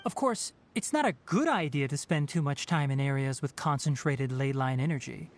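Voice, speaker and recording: A man's voice: medium pitch, lively intonation, normal speed, noisy background, close to an everyday voice. A man playing a young, scholarly forest ranger in a video game, speaking in a precise, composed, explanatory manner. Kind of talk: video game character acting. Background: light music.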